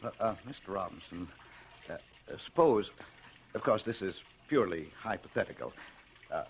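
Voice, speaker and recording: An actor playing a meek, shy, middle-aged husband secretly plotting murder in an old radio drama, with a hesitant, apologetic manner. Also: male voice, sits low, animated, moderate pace, slight background noise, rough recording. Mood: nervous, deferential. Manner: mild, hesitant, stammering, apologetic. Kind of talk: 1940s radio drama acting and narration. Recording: vintage radio recording with faint music.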